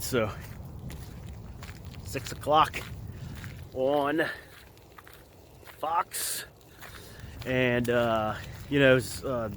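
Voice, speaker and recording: Hoarse man rambling outdoors. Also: mid-range voice, fairly bright tone, slight background noise. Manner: rambling, halting with many fillers. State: hoarse from a cold. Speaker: vlogger giving football picks. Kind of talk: casual outdoor vlog chat. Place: outdoors at an empty field in winter.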